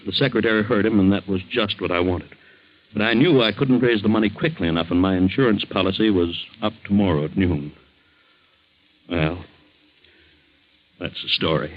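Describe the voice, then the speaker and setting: An actor playing a ruined middle-aged father in a 1940s radio drama, speaking gravely and earnestly. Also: fairly low pitch, lightly acted. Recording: dull old 1940s radio recording.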